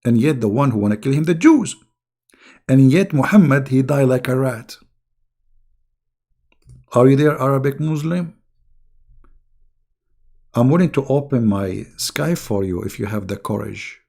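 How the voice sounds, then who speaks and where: Hoarse, taunting man with gravelly voice. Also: mid-range voice, lively intonation, a little slow, long pauses, darkish tone. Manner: taunting, insulting, rambling. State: hoarse, losing his voice. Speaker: online religious commentator. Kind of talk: mocking casual monologue.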